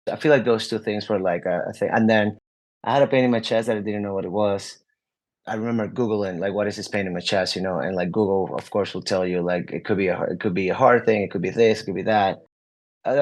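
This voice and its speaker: A man's voice: fairly low pitch, fairly quick. A young adult man, a guest musician, answering interview questions openly in a rambling way, full of "like" fillers and restarts.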